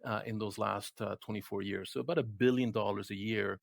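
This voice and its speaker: A man whose first language is Spanish, born in Chile, in his fifties, answering interview questions as a guest economist in a halting way full of ums and uhs. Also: on the low side, fairly even intonation, creaky, no echo, clean studio sound. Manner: halting, many ums and uhs, restarts often.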